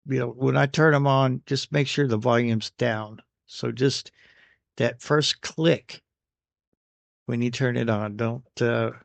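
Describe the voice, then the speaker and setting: An American man recording an unscripted product review voice-over, speaking in a halting way with pauses between phrases. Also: medium pitch, gravelly, dry recording. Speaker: radio gear reviewer.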